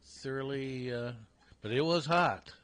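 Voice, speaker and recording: A man's voice: mid-range voice, a little slow, a bit bright, clear, resonant tone. A man co-hosting a radio sports talk show, chatty and teasing his colleagues. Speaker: radio co-host. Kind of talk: casual radio sports chat. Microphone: studio broadcast mic.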